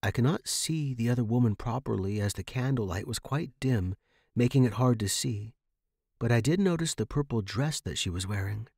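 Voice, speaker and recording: A man's voice: medium pitch, lively intonation, moderate pace, vocal fry, a little mellow, breathy edge, dry recording, studio quality. A man reading scripted horror fiction as the narrator.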